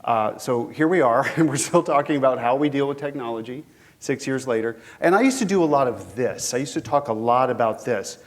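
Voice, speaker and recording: Brief: male guest lecturer with a head cold, a bit sniffly, chatty and engaging, mid pitch. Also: expressive, fairly quick. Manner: conversational, engaging the audience with questions. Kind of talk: conference lecture.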